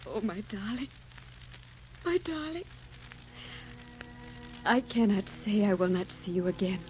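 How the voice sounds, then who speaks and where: An actress playing a passionate, wistful young baroness in love in an old-time radio romance, on a muffled broadcast with faint music. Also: female voice, mid-range voice, animated, measured pace, natural voice. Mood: anguished devotion. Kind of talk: 1940s radio romance acting.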